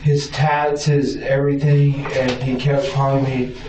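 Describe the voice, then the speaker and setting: Distant, stammering American man, flat and slow. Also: mid-range voice. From Arizona, United States. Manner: hesitant, stammering, repeating words. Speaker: suspect being questioned by police. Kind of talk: police interview answers. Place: police interview room. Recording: distant roomy mic, dull audio, faint noise.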